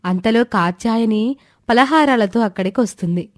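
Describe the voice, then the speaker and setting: A woman's voice: on the high side, moderate pace, no echo, studio quality. A woman reading a scripted moral tale as the storyteller.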